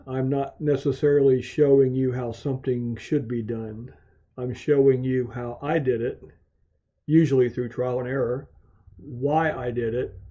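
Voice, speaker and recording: A man narrating a software tutorial as a hobbyist, explaining things in a methodical, measured way. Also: medium pitch. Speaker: amateur radio hobbyist hosting video tutorials. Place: home radio shack. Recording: dull, muffled lo-fi voice track.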